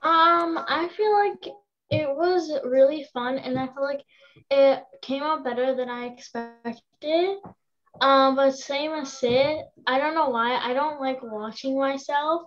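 A young woman with a high voice chats casually on an online panel. The video call makes her sound dull and lo-fi. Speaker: amateur actor, panel guest. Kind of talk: casual chat.

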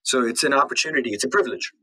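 A man in a medium-pitched voice. He talks casually as a podcast guest, telling stories in an animated way and voicing his own thoughts as little quotes. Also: very fast, husky, rough recording. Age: late 20s, turning thirty. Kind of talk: casual interview talk.

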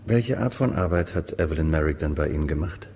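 Amateur detective, radio crime play: low, gravelly, polite, questioning, reverberant room. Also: male voice, lively intonation, a little fast, a little mellow. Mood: polite, insistent. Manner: questioning, restrained. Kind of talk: German radio crime drama acting. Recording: reverberant room, faint noise.

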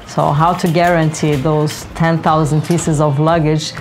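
A woman from Brazil, a senior project manager, speaking with measured enthusiasm in a documentary interview. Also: low-pitched, flat delivery, soft background music.

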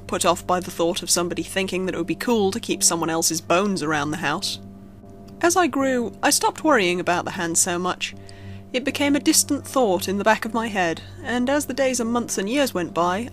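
Woman reading a creepypasta, expressive storyteller, music playing behind her. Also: mid-range voice, a little fast. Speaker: creepypasta narrator. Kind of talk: scripted horror story narration. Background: background music.

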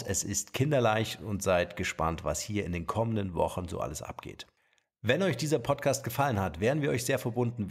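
A man's voice: lowish voice, darkish tone. A man hosting a podcast, friendly, speaking straight to the listeners in a closing announcement. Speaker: podcast host. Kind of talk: sign-off announcement.

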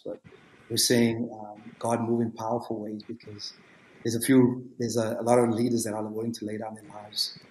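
Guy almost fifty, youth leader on a webcam call, roomy echo, bit of hiss. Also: lowish voice. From South Africa. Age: 40s. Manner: relaxed, rambling storyteller with many fillers. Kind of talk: video call panel discussion. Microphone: video call webcam. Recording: echoey room with faint noise.

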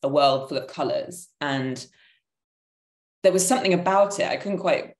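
A woman's voice: low voice, normal speed. A woman in her thirties thinking aloud in an emphatic, searching way as a panelist on a video call. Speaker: panelist, theatre maker. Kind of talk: reflective conversational explanation. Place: indoor room at home, video call. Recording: roomy, echoing video-call sound.

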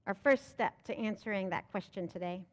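A woman's voice: mid-range voice, moderate pace, long reverb tail. A young American woman pastor preaching a sermon from the pulpit of a church sanctuary, asking rhetorical questions and building to emphatic, rising lines. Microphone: pulpit microphone.

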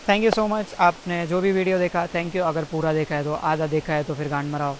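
A man's voice: a little high, little pitch movement, normal speed, slight background noise, poor audio. Awkward young man rambling outdoors. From India. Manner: awkward, self-mocking, swears freely. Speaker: stand-up comedian. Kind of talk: rambling outtakes to camera, then stand-up set. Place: outdoors by a jungle stream.

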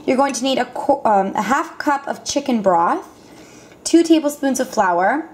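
A young woman casually walking through a home recipe at her stove, talking with lots of ums and quick self-corrections. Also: fairly high pitch, a little mellow. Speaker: cooking vlogger. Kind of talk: casual cooking demonstration. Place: home kitchen. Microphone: camera mic, filming over the stove. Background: clinking pots and utensils.

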